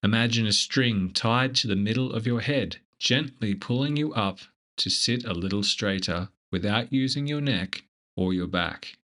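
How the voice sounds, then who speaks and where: A man leading a scripted guided meditation in a gentle, measured, instructive manner. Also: on the low side.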